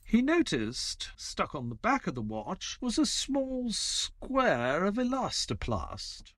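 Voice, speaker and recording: Guy as reserved ex-military sidekick telling the story in a radio play, slightly high male voice, lots of melody. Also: wildly swooping pitch, slow, a bit bright, dry recording, clean studio sound, heavily acted. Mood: reflective. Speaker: actor and narrator in an audio drama. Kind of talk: narrated audio play.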